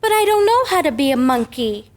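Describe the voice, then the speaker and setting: A woman performs a precocious young girl for an old-time radio drama. The voice is high and childlike, with prim, matter-of-fact delivery. It carries the reverb of a hall. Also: big pitch swings, a little fast. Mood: puzzled. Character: young schoolgirl, serious, literal-minded and precocious.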